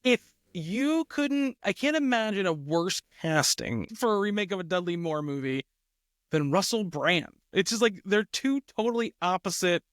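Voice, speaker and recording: A man with a fairly high voice co-hosts a casual film discussion. He explains his points conversationally, tagging them with small check-ins. The recording is close and dry. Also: animated, moderate pace, a bit bright, dry recording. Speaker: film podcast co-host.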